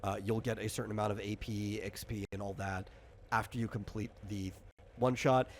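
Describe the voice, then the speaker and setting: A man's voice: on the low side, little pitch movement, a little fast, a little hiss or hum. A man casually walking a player through a tabletop game as game master, rambling, explanatory and freely opinionated.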